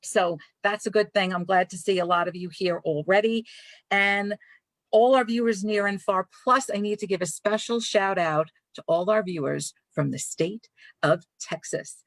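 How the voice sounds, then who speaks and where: A woman's voice: medium pitch, moderate pace, dry recording, low-quality recording. A woman from Brooklyn with a Brooklyn accent, hosting a live library author chat in an effusive, chatty way. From Brooklyn, New York. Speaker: librarian host.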